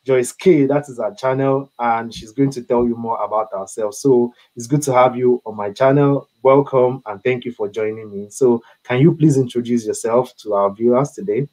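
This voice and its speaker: Halting male interview host. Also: mid-range voice, darkish tone, low-quality recording. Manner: halting, repeats words with many fillers. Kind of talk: online interview.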